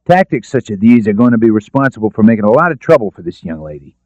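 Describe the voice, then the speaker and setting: A man voicing a stern, insistent police lieutenant with a gruff, official manner in an audiobook. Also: fairly low pitch, very animated, fast, darkish tone, dry recording, lo-fi sound. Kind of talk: audiobook character dialogue.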